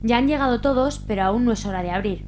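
A woman's voice: on the high side, fast, background noise. A woman reading scripted narration for a radio drama, with music behind her.

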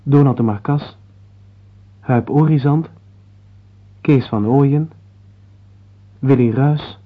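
A man working as a radio announcer, reading scripted closing credits in a measured, formal way. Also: medium pitch. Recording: narrow tinny old radio broadcast.

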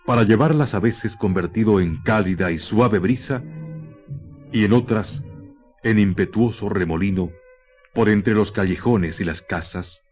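A Chilean man narrating a scripted radio drama in a solemn, literary manner with measured phrasing. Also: lowish voice, a little mellow. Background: music.

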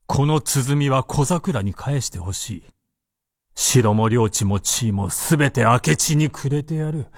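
A fearless warlord of old Japan in a radio drama. He speaks with slow, deliberate authority, and his pitch swings widely as he commands. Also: lively intonation, no echo, studio quality. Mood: defiant, grimly amused. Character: imperious, fearless samurai warlord facing his death.

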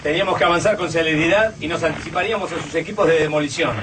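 A pompous, commanding male army major voiced by an actor in a radio play. He fires off orders quickly in a high, clipped voice. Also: natural voice. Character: overconfident, commanding military major leading survivors. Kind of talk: radio drama acting. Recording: distant mic, clear background noise.

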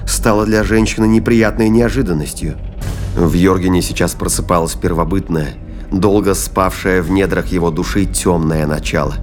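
Low-voiced male audio drama narrator. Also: a little mellow, a little hiss or hum.

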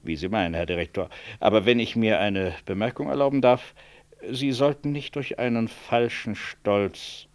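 An actor playing a scheming, formally deferential medical professor, speaking in hedging, circuitous phrases in a radio drama. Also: male voice, on the low side, normal speed, clear, resonant tone, natural voice. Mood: oily, pressing. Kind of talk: 1960s German radio drama acting.

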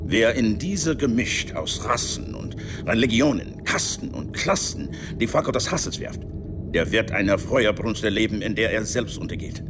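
An actor playing a cynical, worldly embassy man in a radio drama, lecturing in a measured, patronising way with veiled menace. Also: big pitch swings. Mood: cold warning. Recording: noisy ambience with vehicle rumble.

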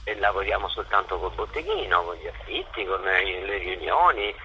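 A middle-aged man talks in a conversational interview. His voice sits a little low but is very sharp and forceful. He tells stories with rhetorical questions, over faint background noise. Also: lively intonation, normal speed, highs cut off. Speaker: interviewed theatre actor and director.

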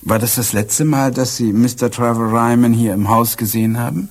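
An actor playing a composed British police inspector in a German radio crime drama, dryly courteous and probing. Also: male voice, medium pitch, fairly even intonation, normal speed, natural voice.

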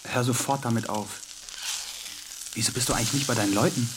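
A man's voice: medium pitch, fairly even intonation, brisk, noisy background, lightly acted. An actor playing a suspicious man with a blunt, probing manner in a naturalistic radio drama. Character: suspicious, blunt man questioning his lover.

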